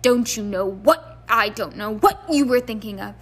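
A woman's voice: medium pitch, animated, moderate pace, husky. Woman acting a bossy, snappy witch mother. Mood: scolding. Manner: snappy, scolding, emphatic. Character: bossy, fussing middle-aged witch mother, scolding yet doting.

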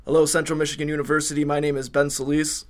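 A man's voice: on the high side, completely flat delivery, rapid-fire. A young man co-hosting a college podcast in a casual, jokey, rambling way from a podcast room. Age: young adult. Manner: laid-back, jokey, rambling with fillers. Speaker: podcast co-host. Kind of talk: casual chat.

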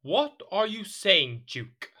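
A man's voice: high voice, big pitch swings, takes it slowly, sharp tone, exaggerated voice. An actor playing a petulant, haughty and easily swayed king in an audio drama. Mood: excitable, surprised. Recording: music behind the voice.

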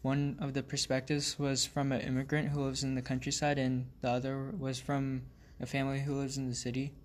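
Young man with a flat, even voice. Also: mid-range voice, brisk. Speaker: student podcast co-host. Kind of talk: casual discussion. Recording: noisy amateur recording.

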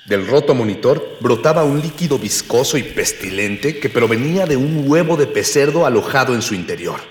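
A man's voice: medium pitch, lively intonation, normal speed, a little hiss or hum, natural voice. A man playing a grandiose narrator of a pulp space serial, pompous and florid, in mock-heroic radio style. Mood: pompous, dramatic. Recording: echoey long reverb, music behind.

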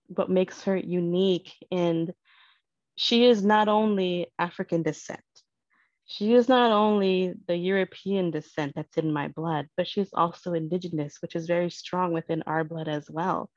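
A woman talking in an earnest, reflective and measured way in a casual conversation, as a guest parent. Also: lowish voice, fairly even intonation, dry recording. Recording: dull dry lo-fi sound.

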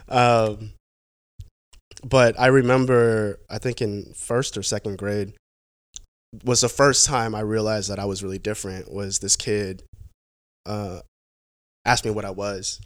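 A young man from the Pacific Northwest of the United States, a guest talking in a casual interview, halting, with many restarts and fillers. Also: lowish voice, no room sound, studio quality.

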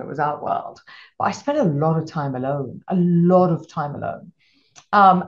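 Rambling middle-aged woman on a lo-fi line. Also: lowish voice. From Malawi. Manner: rambling, frequent restarts, animated storytelling. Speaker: entrepreneur guest. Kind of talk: casual interview chat.

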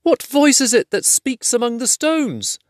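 A man's voice: extremely high pitch, sing-song, unhurried, no echo, voice pushed up, heavily acted. A man voicing a grave, formal male warrior who speaks solemnly. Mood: wary. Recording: dry close recording.

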